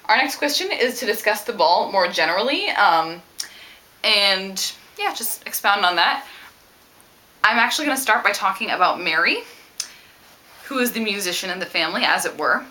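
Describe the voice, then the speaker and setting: A woman talking casually about a book as a vlogger, with an animated, emphatic and opinionated way of speaking. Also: medium pitch, normal speed. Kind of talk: casual book discussion to camera.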